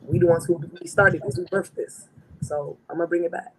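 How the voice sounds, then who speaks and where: A woman artist with a raspy voice, answering interview questions in a confident, emphatic way. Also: lowish voice, steady tone, brisk, a crowd in the background, low-quality recording. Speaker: guest artist.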